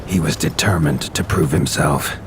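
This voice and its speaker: Deep-voiced man narrating a storybook game. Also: background noise. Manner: grave, measured storyteller.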